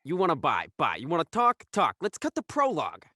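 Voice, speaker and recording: A man's voice: on the high side, huge pitch swings, a little fast, bright, forceful tone, full character voice. A man playing a suspicious, hostile underground dealer in an audio thriller, curt and agitated. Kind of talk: audio drama acting, present-day thriller.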